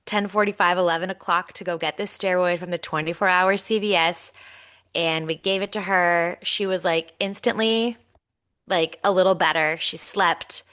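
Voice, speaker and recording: Woman, 30s, stuffy nasal voice, animated storyteller, tense bright tone. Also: on the low side. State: congested, nasal. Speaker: podcast co-host. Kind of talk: casual storytelling chat.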